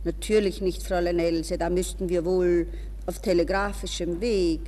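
An actor playing a suave, condescending older gentleman with measured, smooth courtesy, in a 1960s radio drama style. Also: high-pitched, level tone, close to an everyday voice. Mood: cool, patronising. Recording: reverberant, hissy 1960s radio recording.

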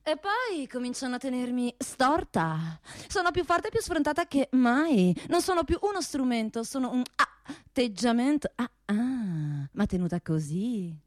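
A woman's voice: on the high side, very animated, measured pace, no echo, clean studio sound. A woman playing a seductive, self-admiring female pistol in a theatrical radio play, proud and teasing.

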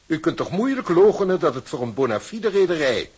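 Condescending, husky company official. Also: male voice, a little high, sing-song, brisk, a little mellow. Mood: indignant. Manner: formal, officious, mock-polite. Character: cold, condescending male shipping company official. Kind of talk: Dutch radio drama acting.

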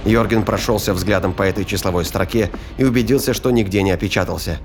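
A man narrating a scripted science-fiction thriller in a measured, formal storytelling manner. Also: fairly low pitch, noisy background.

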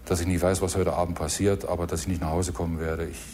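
A man from East Germany gives an eyewitness recollection, halting and deliberate, careful about detail. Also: deep voice, little pitch movement, darkish tone, a little hiss or hum. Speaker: eyewitness interviewee. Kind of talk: spontaneous spoken recollection.

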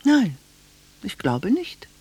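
An actress playing a blunt, wistful middle-aged village cashier in a German radio drama, speaking in a deliberate, intimate way. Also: female voice, mid-range voice, wildly swooping pitch, warm tone, breathy edge, exaggerated voice. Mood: reproachful, sad.

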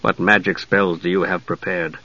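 A man voicing a cold, commanding, battle-hungry dark knight in a fantasy audiobook, terse and imperious. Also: deep voice, steady tone, quick pace, vocal fry, breathy edge, muffled recording, voice pushed down, heavily acted.

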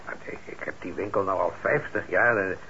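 A man's voice: low voice, a little fast. An actor playing a mild, patient old shopkeeper and father in a radio play, speaking hesitantly. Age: older. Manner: patient, hesitant, soft-hearted. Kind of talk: Dutch radio play acting. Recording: dull, noisy old radio recording.

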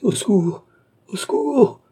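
A man's voice: fairly low pitch, moderate pace, mellow, husky. A man voicing a proud young noblewoman, outraged and scornful, in a theatrical audiobook story. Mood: screaming for help. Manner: exclamatory, haughty, theatrical.

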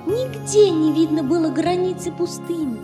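A woman narrating a children's tale in a measured, expressive literary reading. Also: extremely high pitch. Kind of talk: scripted storytelling for children. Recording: roomy reverb, faint music behind.

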